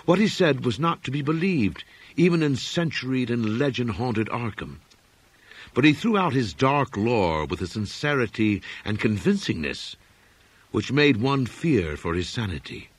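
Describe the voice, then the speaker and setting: Husky male narrator with sweeping, dramatic intonation. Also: mid-range voice, no room sound. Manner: measured, expressive literary reading. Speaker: audiobook narrator. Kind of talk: dramatic scripted narration of gothic horror fiction.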